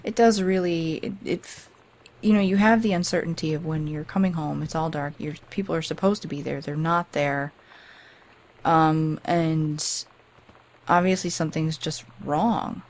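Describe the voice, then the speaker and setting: A woman with a deep voice giving casual game commentary in an earnest, hesitant, reflective manner. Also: moderate pace, a little mellow. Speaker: let's play video creator.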